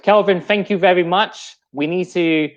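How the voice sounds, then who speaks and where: A man's voice: high voice, fairly even intonation, moderate pace, slight background noise. A man in his thirties hosting a live podcast chat, talking in an earnest, reflective, motivational way. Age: 30s. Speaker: podcast host. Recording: dull lo-fi sound.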